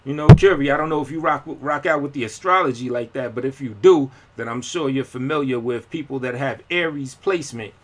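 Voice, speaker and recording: A man's voice: mid-range voice, fairly quick, few pauses. Rambling, slangy male vlogger. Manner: rambling, slangy, frequent fillers and restarts. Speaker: independent rapper and vlogger. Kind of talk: casual live-stream talk.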